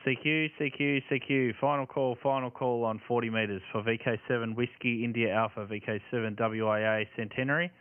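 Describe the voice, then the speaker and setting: A man's voice: mid-range voice, steady tone, brisk, few pauses, clean tone, no room sound. An Australian man working as an amateur radio operator, making calls and chatting casually on a livestream. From Tasmania, Australia. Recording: tinny band-limited audio with loud radio hiss. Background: radio static and hiss.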